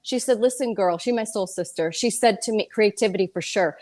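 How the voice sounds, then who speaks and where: A woman in her fifties with a medium-pitched voice. She speaks as a guest in a casual interview, candid and colloquial, often slipping quoted remarks into her storytelling. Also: brisk. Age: 50s. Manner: animated storytelling, quotes herself and others, colloquial. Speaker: interview guest, real estate broker and speaker.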